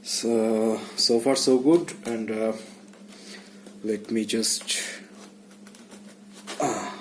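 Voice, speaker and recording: A man giving a casual hands-on tutorial, speaking haltingly and muttering to himself as he works indoors. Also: fairly low pitch, fairly even intonation. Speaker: DIY vlogger. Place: small indoor room. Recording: background noise, room ambience.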